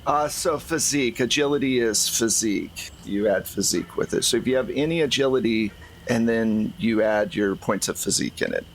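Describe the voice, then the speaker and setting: A man's voice: mid-range voice, expressive, normal speed, gravelly, a little hiss or hum. A man running a tabletop roleplaying game, narrating and explaining in a conversational, improvised way. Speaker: game master.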